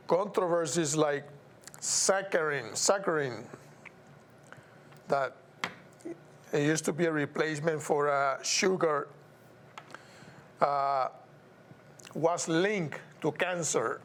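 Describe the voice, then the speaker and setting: A Puerto Rican man hosts his own talk show and speaks at an unhurried, deliberate pace. His voice sits a little high for a man, and he stresses points by repeating words. A faint hiss of noise runs under the recording. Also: fairly bright tone. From Puerto Rico. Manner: measured, emphatic, repeats words and stumbles over some. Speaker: show host. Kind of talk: slow, deliberate solo commentary.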